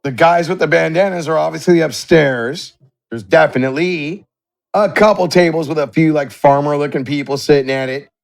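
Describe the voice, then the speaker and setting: A man's voice: a little high, normal speed, creaky. A man running a tabletop game as game master, narrating in a conversational, descriptive way.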